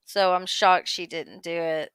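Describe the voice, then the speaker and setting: A woman's voice: fairly low pitch, steady tone, measured pace, fairly bright tone, smooth, no room sound. A woman on a casual livestream panel, talking and reading posts aloud.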